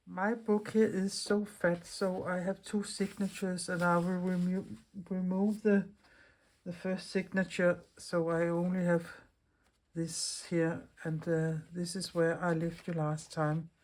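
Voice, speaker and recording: A Danish woman in middle age hosts a homey craft lesson, her voice sitting on the low side. She speaks accented English as a second language, chatty and unhurried, and often restarts a word mid-phrase. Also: level tone, darkish tone. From Denmark. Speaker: craft video host. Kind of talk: casual craft tutorial talk.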